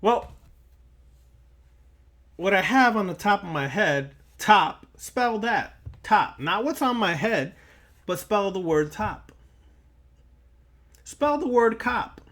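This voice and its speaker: A man's voice: high voice, lively intonation, slow pace, pauses often, fairly bright tone. A man giving a spiritual lecture straight to his listeners, emphatic and repeating phrases for weight. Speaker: occult lecturer.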